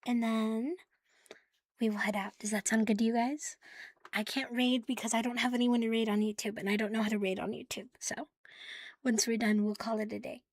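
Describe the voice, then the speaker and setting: A woman livestreaming ASMR in a playful, cutesy catgirl manner, soft and breathy, often whispering, close to the microphone. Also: a little high, fairly even intonation, brisk, no echo. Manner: playful, cutesy catgirl persona, with giggles and purring. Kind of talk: ASMR, intimate chat to live listeners.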